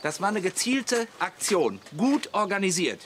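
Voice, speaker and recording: An actor in a German radio play playing an indignant, blustering campground ringleader with a pompous, combative manner. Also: male voice, high voice, big pitch swings, a little slow, a bit bright, a little hiss or hum, full character voice. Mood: alarmist, pompous.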